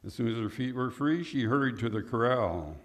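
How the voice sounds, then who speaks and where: A man's voice: medium pitch, animated, brisk. A man narrating a scripted Western in old-time radio drama style.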